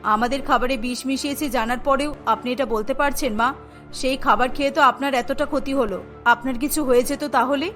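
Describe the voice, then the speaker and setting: Lady doing a young cartoon wife, high bright voice, pleading but speaks up. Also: fairly even intonation, fairly quick, faint music behind. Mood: indignant. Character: young pregnant daughter-in-law, pleading yet outspoken. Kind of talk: cartoon dialogue.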